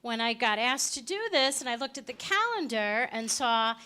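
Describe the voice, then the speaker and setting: Female presenter, bright and a bit high-pitched; chatty, jokes in asides. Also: expressive, clear, resonant tone. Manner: chatty and joking, quick asides. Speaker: panel presenter. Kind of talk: lively presentation talk.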